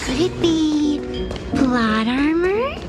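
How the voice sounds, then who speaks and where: A woman's voice: high-pitched, lively intonation, extremely slow, over loud music, exaggerated voice. A woman voicing a cheeky, outspoken young girl in a video game, blunt and sassy. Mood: curious, teasing.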